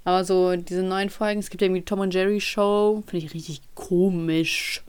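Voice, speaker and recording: A woman's voice: lowish voice, steady tone, normal speed, darkish tone, clear, resonant tone. A young woman from Ukraine chatting casually as a podcast co-host, with playful, slangy banter. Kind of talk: casual chat.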